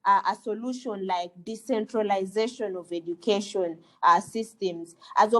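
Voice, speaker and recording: A woman from Uganda, a lawyer, speaking earnestly on a webinar panel, pausing often with fillers and restarts. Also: medium pitch, fairly even intonation, fairly bright tone. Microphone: video call.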